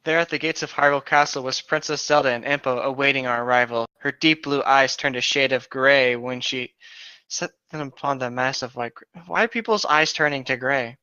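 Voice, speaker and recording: A man's voice: mid-range voice, brisk, bright, forceful tone, smooth, no room sound, highs cut off. A man co-hosting a comic read-aloud, dramatic in the story and casual in his asides. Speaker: co-host reading stories aloud.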